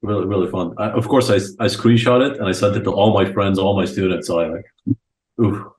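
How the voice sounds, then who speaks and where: A man's voice: low voice, steady tone, brisk, a little mellow, slight background noise. A young man from Croatia telling stories informally and enthusiastically as a guest in a casual interview. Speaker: podcast guest, chess coach. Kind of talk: casual interview chat, storytelling.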